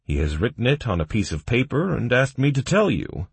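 A man's voice: sits low, big pitch swings, normal speed, gravelly, breathy edge, dry recording, full character voice. A man voicing a cheerful, obliging guard in a fantasy audiobook. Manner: happy, obliging. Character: cheerful, chatty male guard delivering a taunting message.

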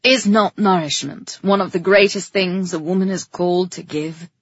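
Imperious storybook king, played by a woman, loud and oratorical, husky edge, slightly dull roomy sound. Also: fairly low pitch, fairly even intonation, moderate pace. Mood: grand, stately. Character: commanding, grandiose king making stately proclamations. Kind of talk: audiobook character acting.

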